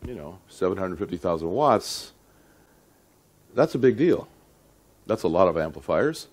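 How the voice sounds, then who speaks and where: A middle-aged man with a low voice speaks as a lecturer at a technical seminar. He is deliberate and conversational, leaning on key words for emphasis and turning to his listeners with short rhetorical questions. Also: darkish tone. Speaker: audio engineering lecturer.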